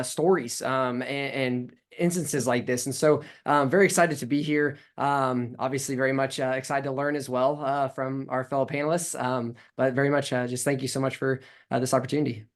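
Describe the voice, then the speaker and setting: A man who directs a university sport management program introduces himself humbly on a video call, with many ums and uhs. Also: mid-range voice. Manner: hesitant, many fillers, humble. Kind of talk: panel introduction.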